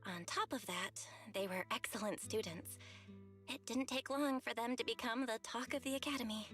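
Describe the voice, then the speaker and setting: A woman's voice: a little high, moderate pace, a bit bright, lightly acted. An actress playing an earnest young woman haunted by her past in a video game, speaking plainly and sincerely. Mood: wistful, recounting. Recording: game music under the voice.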